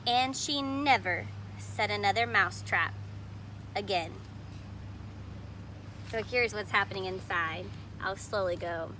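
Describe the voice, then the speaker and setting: A woman with a bright, forward voice reads a children's storybook aloud. She is expressive in the narration and adds simple explanations of the pictures for young listeners. Some background noise sits behind her. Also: mid-range voice, slow, pauses often, low-quality recording. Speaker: storybook reader. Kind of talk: expressive read-aloud of a picture book with plain asides on the illustrations.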